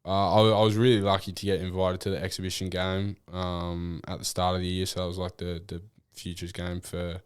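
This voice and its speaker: A young Australian man answering interview questions about his football in a relaxed, rambling way, with many fillers and restarts. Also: sits low, brisk, few pauses, clean tone, dry recording, studio quality. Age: young adult. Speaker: draft prospect guest. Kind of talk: casual interview.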